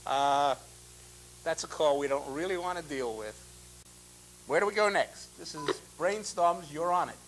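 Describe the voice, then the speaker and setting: A man with a bright, fairly high voice hosts a live call-in program. He sounds gruff and exasperated, brushing callers off curtly. The recording is noisy public-access TV audio with an audible buzz. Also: a little slow, long pauses. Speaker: host of a call-in show.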